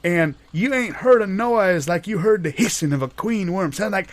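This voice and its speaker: Man playing a high-voiced folksy old yarn-spinner. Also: animated, normal speed. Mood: dramatic, folksy. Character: folksy older man spinning tall tales, stubbornly insistent. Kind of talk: improvised audio comedy storytelling.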